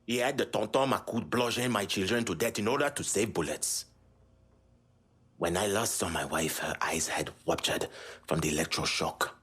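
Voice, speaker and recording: Deep, husky Haitian gang boss. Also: male voice, moderate pace, a bit bright. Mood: grieving, bitter. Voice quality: husky, low voice. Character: grieving, embittered Haitian gang boss, middle-aged man. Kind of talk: dramatic video game dialogue.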